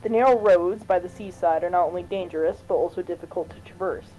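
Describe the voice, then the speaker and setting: A woman's voice: fairly low pitch, fast talker, muffled recording, faint music behind, close to an everyday voice. A woman voicing a principled female politician who speaks up for ordinary citizens in a political game. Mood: earnest, insistent. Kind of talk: amateur voiced read of game dialogue.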